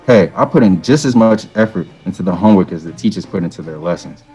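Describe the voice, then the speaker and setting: A man's voice: deep voice, animated, fast talker, darkish tone, breathy edge, faint music behind, rough recording. A man playing a cocky, flippant slacker student gamer in an amateur audio drama.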